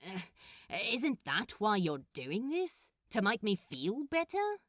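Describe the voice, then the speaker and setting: A woman's voice: mid-range voice, huge pitch swings, takes it slowly, a bit bright, dry recording, clean studio sound, heavily acted. A woman voicing a grumpy, defensive male demon in a storybook reading, with a snappish, grudging, growling manner. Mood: puzzled.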